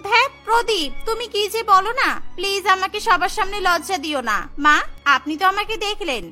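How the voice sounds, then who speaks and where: A coy, vain young bride-to-be from a cartoon , voiced by a woman. Her voice is extremely high and bright, with music softly underneath. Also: fairly quick. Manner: coy, self-admiring. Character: bashful, vain young bride-to-be, rich and beautiful. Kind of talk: cartoon story voice acting.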